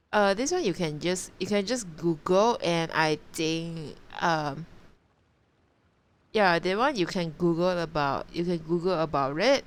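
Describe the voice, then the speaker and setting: Female game streamer, low voice, unhurried casual commentary, halting, thinks aloud and repeats herself. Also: clean tone. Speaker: live streamer. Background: game music.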